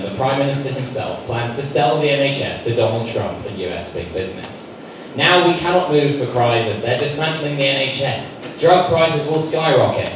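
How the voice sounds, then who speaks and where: Pompous male right-wing columnist played by a comedian, declamatory and outraged, slightly high voice; noisy live club stage. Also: natural voice. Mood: pompous, aggrieved. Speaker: comedy performer reading a satirical column. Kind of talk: live satirical monologue read from a script. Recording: echoey live club recording, distant, loud room noise. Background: noisy club room.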